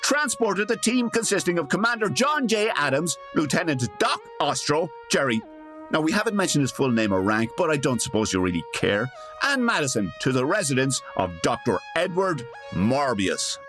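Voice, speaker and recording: A wry radio play narrator with a fairly low, hugely animated delivery. She tells the story with dry comic asides, over faint music. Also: moderate pace. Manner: wry, digressive. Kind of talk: comedic scripted narration.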